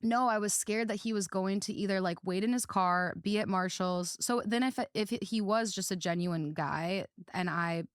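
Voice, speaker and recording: A woman's voice: medium pitch, normal speed, few pauses, smooth, no echo, clean studio sound. A woman podcast host telling personal stories in casual, rambling chat, often quoting herself and others.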